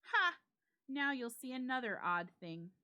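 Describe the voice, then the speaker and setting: A woman voicing a puzzled, uneasy gentleman host in a ghost story, with emphatic, questioning delivery. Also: high voice, big pitch swings, measured pace, voice pushed up, full character voice. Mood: uneasy, intrigued.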